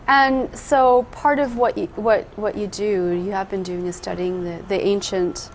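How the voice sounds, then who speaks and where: A woman's voice: mid-range voice, steady tone, unhurried, a little hiss or hum. A woman hosting a talk show, asking her guest conversational, probing follow-up questions. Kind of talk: interview questions.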